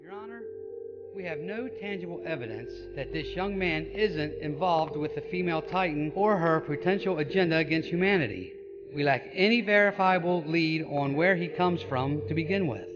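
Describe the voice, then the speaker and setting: Actor as male prosecutor, fairly high voice, stern, argumentative, audio drama, muffled sound. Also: moderate pace, music in the background, close to an everyday voice. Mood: distrustful, insistent. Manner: formal, argumentative. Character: stern, distrustful male military police prosecutor. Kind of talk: fan audio drama acting.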